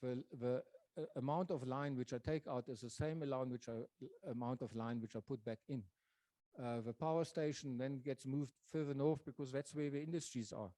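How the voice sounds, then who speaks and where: A man presents a public lecture in a mellow, warm voice, thinking aloud as he goes and often pausing or restarting. The sound is dry and close, with little room around it. His pitch sits in an ordinary middle range. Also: fairly even intonation, dry recording. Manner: thoughtful, pausing with many fillers and restarts. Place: lecture venue.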